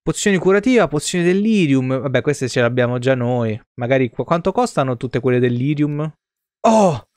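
A man's voice: medium pitch, lively intonation, moderate pace, darkish tone, no room sound. A man, giving informal live game commentary and reading game text aloud on a close microphone. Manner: informal, mild exclamations. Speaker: video game streamer. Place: small indoor streaming setup.

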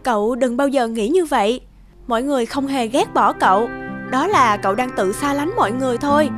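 A kind little cartoon spirit girl, played by a woman, high and gentle. She sounds reassuring, with music playing behind her. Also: fairly quick, lightly acted. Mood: gentle, consoling. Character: kind, bright young Light spirit girl.

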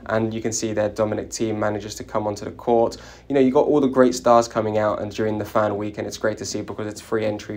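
Chatty young man with a deep, even voice. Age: young adult. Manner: chatty, relaxed, many "you know" fillers. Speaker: vlogger. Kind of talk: casual vlog voice-over.

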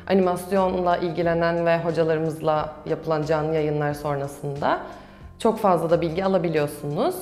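A woman's voice: lowish voice, darkish tone. A young adult woman, a student answering questions in a promotional interview. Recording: roomy echo with music behind. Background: background music.

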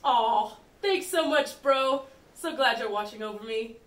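High, slow, trusting puppet father played by a woman. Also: fairly bright tone. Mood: trusting, dopey. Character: earnest, trusting, weary father in puppet Shakespeare. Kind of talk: amateur puppet-show acting. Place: indoor room with puppet stage. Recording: echoey room, distant mic.

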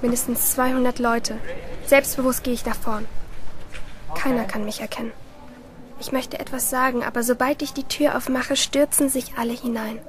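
A woman's voice: a little high, steady tone, moderate pace, crowd noise, lightly acted. A woman playing a rebellious, lonely teenage girl who narrates her thoughts intimately in a German radio play. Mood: tense, expectant.

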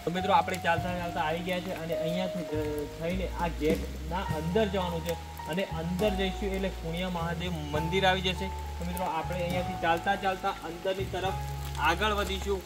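High-voiced young man guiding a forest walk. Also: level tone, fairly quick. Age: young adult. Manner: addresses viewers as friends, guiding tour while walking. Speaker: travel vlogger. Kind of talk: walking travel vlog. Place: outdoors in monsoon forest by a stream. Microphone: handheld phone camera, close. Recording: outdoor phone audio under loud music. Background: loud background music.

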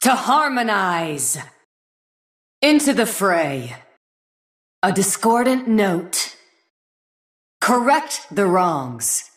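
A woman voicing a serene, ageless celestial guardian in a fantasy game, making solemn, lofty declarations in a stately, measured manner. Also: medium pitch, very animated, fairly bright tone, roomy sound, full character voice. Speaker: game character voice. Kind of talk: scripted fantasy game voice lines.

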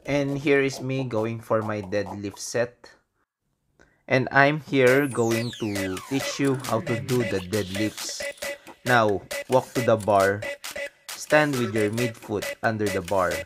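Male fitness coach, twenties, Filipino accent, unhurried step-by-step voice-over, clear music bed underneath. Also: fairly low pitch. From Philippines. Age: 20s. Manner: step-by-step instructions in short phrases. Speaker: fitness coach and video host. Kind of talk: instructional workout voice-over.

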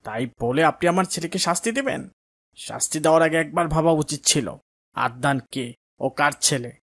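An arrogant councillor in a children's cartoon, acted by a man. His voice sits a bit high for a man. He scolds and threatens like someone used to being obeyed. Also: expressive, moderate pace, a bit bright. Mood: indignant, threatening. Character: arrogant, bullying local councillor and angry father. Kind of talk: cartoon dialogue acting.

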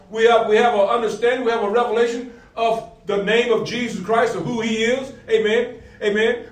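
A male pastor with a high-pitched voice delivers a sermon. He poses questions, answers them and repeats key phrases, dropping in frequent amens. The recording is roomy and picked up from a distance. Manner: repetitive call-and-answer questions, punctuated with amens. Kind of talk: sermon, preaching with scripture readings. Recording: roomy, distant mic, faint music behind.

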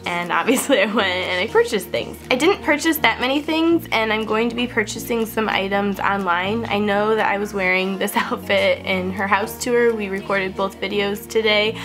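A woman's voice: mid-range voice, moderate pace, few pauses, over music. A woman in her twenties, a beauty vlogger chatting casually to the camera in a house hallway, her voice scratchy and stuffy from a cold, laughing often. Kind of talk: casual haul vlog. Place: upstairs hallway of a house, indoors. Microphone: camera-mounted mic, seated close to the camera.

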